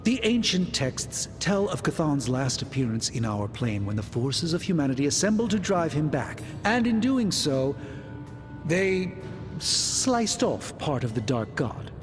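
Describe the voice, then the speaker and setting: A man playing an anxious occult researcher in a video game cutscene, speaking formally and gravely with hesitant pauses. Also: fairly high pitch, sing-song. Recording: long reverb, music underneath.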